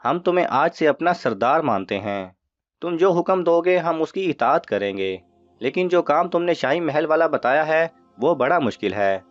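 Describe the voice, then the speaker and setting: A man's voice: fairly high pitch, expressive, no room sound, lightly acted. A man voicing eager, admiring thieves who defer to their leader in a children's story. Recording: muffled audio with music underneath.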